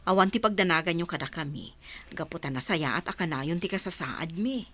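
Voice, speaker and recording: A woman's voice: on the low side, animated, a little fast, a bit bright. A woman with an Ilocano accent playing a guilty, evasive woman in a radio drama, hesitant and dramatic. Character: guilt-ridden, evasive woman hiding a wrongdoing. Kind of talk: Filipino radio drama acting.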